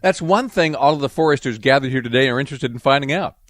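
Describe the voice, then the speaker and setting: A man hosting and narrating a radio nature program in a clear, storytelling delivery. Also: medium pitch, fast, a bit bright, no room sound, clean studio sound. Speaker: radio show host and narrator.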